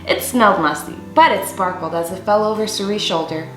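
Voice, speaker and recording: A young woman reads a picture book aloud to children in a medium-pitched voice. Her phrasing is deliberate and expressive, in storyteller style. The room adds noticeable echo, and soft music plays underneath. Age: young adult. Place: small room indoors.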